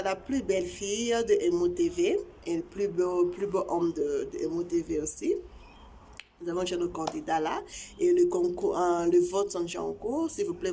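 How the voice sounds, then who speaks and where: Woman, of congo, tshiluba speaker, lowish voice, halting casual talk, faint background hiss. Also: dark tone. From Congo (DRC). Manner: halting, many repeated words and fillers. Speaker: channel host. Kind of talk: casual chat to viewers.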